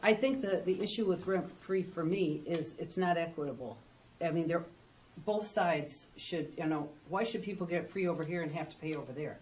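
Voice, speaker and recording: A woman's voice: on the low side, normal speed, darkish tone. A woman talking informally and a little tentatively as she reasons aloud at a public meeting in a hall. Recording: muffled meeting recording, faint hiss.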